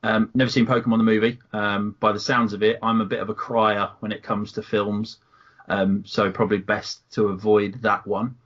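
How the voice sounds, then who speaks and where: British male panelist, hesitant, low-pitched, lo-fi. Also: level tone, moderate pace, fairly bright tone. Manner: hesitant, lots of ums. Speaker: panel regular. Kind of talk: casual opinion chat. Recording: dull lo-fi audio.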